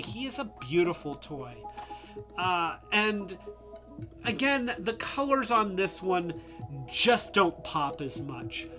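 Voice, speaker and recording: A live-stream host reviewing toys in a casual, rambling way, congested and losing their voice, a bit tipsy from drinks. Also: medium pitch. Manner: rambling, many fillers and restarts.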